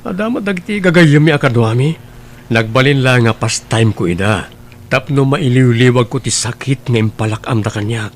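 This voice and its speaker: A man's voice: medium pitch, animated, normal speed, slight background noise, close to an everyday voice. A man playing an earnest, pleading young suitor in a radio drama, a reformed womanizer insisting he is sincere. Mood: defensive, sincere.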